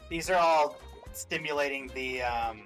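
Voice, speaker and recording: A man's voice: a little high, unhurried, fairly bright tone, faint music behind. A man hosting a reaction video in a casual, chatty style.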